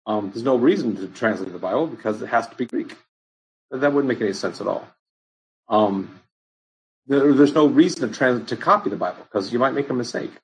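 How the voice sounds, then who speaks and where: Man pastor, husky voice, loose conversational Bible teaching, lots of ums. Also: medium pitch, rough recording. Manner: conversational, many ums and restarts. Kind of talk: informal Bible study answering questions.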